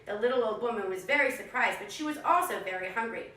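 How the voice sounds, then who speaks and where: Female storyteller for young children, high and bright, recorded from a distance in a roomy space. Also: lively intonation, fast. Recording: echoey room, distant microphone.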